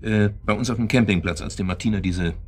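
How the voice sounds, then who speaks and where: An actor playing a bitter widower in a German radio drama, speaking in a halting, pedantic and deliberate way with a husky voice. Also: male voice, lowish voice, darkish tone. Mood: probing. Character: bitter widower methodically accusing his club friends.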